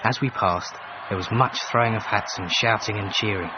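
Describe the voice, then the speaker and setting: An actor playing a reserved Edwardian manservant in a radio drama, reading out his letters in a formal, measured, dryly disapproving way. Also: on the low side, natural voice. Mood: measured, wry. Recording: dull band-limited radio, faint noise.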